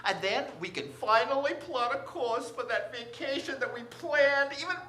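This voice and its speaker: A woman's voice: sits high, normal speed, bright, forceful tone, a little hiss or hum. A woman playing a doting, jovial father in a 1940s radio drama, with a folksy manner. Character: doting, jovial young father, a store greeter. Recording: echoey stage.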